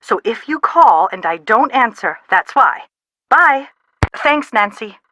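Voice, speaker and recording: A woman playing an anxious, sharp-tongued middle-aged mother in a video game, with a dry, dramatic manner. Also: on the high side, lively intonation, slow pace, dry recording, exaggerated voice. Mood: brisk. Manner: exasperated, dramatic, dry wit. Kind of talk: video game dialogue, phone conversation acting.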